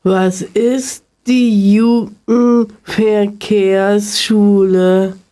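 Very slow, mellow female interviewer. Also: medium pitch, fairly even intonation. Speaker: radio show host, interviewer. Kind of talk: scripted interview questions.

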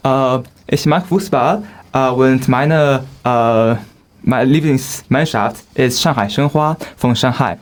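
Young Chinese student on a class panel, mid-pitched, halting German full of ums. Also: male voice. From China. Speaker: student guest. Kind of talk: scripted school panel talk.

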